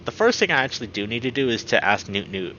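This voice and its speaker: A man hosting a game livestream, chatting casually and excitably with his viewers. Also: mid-range voice, fast, lo-fi sound, a little hiss or hum. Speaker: game streamer and host. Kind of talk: casual livestream chat while playing a visual novel.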